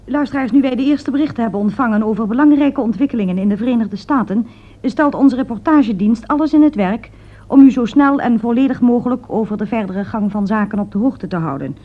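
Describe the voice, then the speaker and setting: A woman's voice: on the high side, fairly quick, natural voice. A woman playing a composed continuity announcer, making formal broadcast announcements in a radio drama. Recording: tinny, narrow old radio recording.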